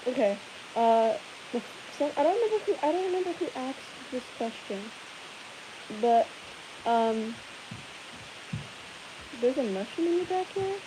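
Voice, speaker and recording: A young woman with a slightly high voice chats loosely on a livestream, giggling and rambling between viewer questions. A steady hiss sits under her voice throughout the recording. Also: measured pace, long pauses. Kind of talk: casual live Q&A chat. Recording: hissy background noise.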